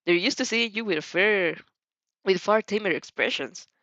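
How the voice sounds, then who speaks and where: A woman narrating a story in an animated way, with occasional slips in her phrasing. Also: medium pitch, normal speed, no room sound, dull audio. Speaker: fan fiction reader.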